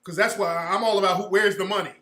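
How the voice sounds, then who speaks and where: Male commentator, high bright voice, emphatic and profane, echoey room. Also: fairly even intonation, fast. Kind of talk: casual commentary.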